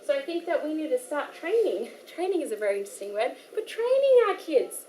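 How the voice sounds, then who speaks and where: Chatty high-voiced Australian woman, echoey hall. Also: fairly quick. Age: young adult. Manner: chatty and animated, many fillers and asides. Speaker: workshop speaker. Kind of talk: informal talk to a live audience. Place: community hall. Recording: echoey hall with faint noise.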